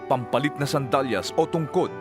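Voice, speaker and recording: A man's voice: medium pitch, music in the background, lightly acted. A man playing an earnest male religious teacher solemnly instructing his disciples in a dramatized scripture reading. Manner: solemn, measured, exhortatory.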